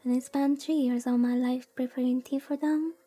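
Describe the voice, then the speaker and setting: Female VTuber, high soft-toned voice, unhurried playful rambling as she plays a visual novel, game music faintly behind. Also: fairly even intonation. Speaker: VTuber streamer. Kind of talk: casual chat while playing a visual novel.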